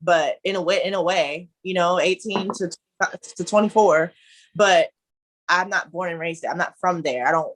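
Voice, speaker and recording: A woman's voice: fairly low pitch, normal speed. A woman in her twenties from Ohio, animatedly telling a story as a guest in a casual conversation.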